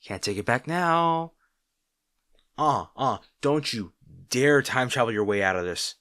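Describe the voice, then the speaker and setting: A man's voice: medium pitch, lively intonation, unhurried, fairly bright tone, dry recording, clean studio sound. A man playing an arrogant but secretly caring supervillain rival, bantering with the listener in an audio roleplay. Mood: teasing, playful. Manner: teasing, bantering, grudgingly sincere.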